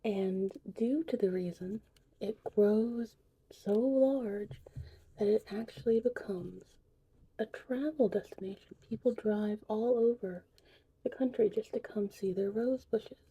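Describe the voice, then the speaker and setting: A woman playing a gentle, whimsical witch in an ASMR roleplay, speaking in a soft, breathy, soothing whisper. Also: mid-range voice, poor audio. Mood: gentle, confiding. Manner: slow, soothing, unhurried. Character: gentle, whimsical female witch teaching potion making. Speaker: ASMR performer.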